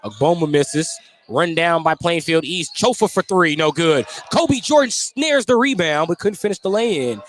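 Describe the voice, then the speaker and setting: A man's voice: fairly high pitch, lively intonation, normal speed, hardly any pauses, faint music behind. A man doing live radio play-by-play of a basketball game in clipped, descriptive running calls. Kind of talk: live radio sports commentary.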